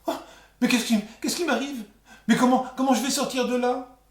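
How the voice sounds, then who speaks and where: A man's voice: extremely high pitch, fairly even intonation, normal speed, roomy sound, pitched above the performer's own voice. A man voicing a naive, plucky twelve-year-old farm boy in a folk tale, exclaiming and stammering. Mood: panicked.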